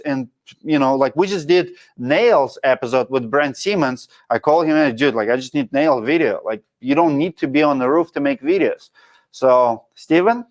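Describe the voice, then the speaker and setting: A man's voice: medium pitch, darkish tone. A man in his thirties with a Russian accent, hosting a casual livestream and coaching viewers in a frank, blunt way. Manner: frank, coaching, drops articles. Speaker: show host reading live chat.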